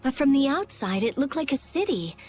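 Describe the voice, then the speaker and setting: Blunt smart teen girl, game character, high lively voice, music faintly behind. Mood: analytical. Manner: matter-of-fact, brisk, a little tart. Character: brainy, blunt teenage girl scholar of ancient technology. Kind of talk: video game voice acting.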